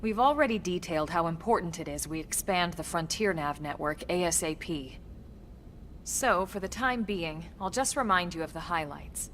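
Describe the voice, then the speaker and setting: An actress playing a composed, no-nonsense female military officer in a video game, speaking in a crisp, measured, dry way. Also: lowish voice, lively intonation, a bit bright, a little hiss or hum. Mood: measured, explaining. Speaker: voice actor. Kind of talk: scripted video game cutscene acting.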